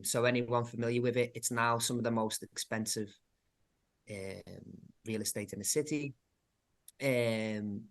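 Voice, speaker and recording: A man with a Liverpool accent, a community arts director giving a thoughtful, halting talk over a video call with many pauses and fillers. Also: medium pitch, a bit bright. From England. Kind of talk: online presentation talk.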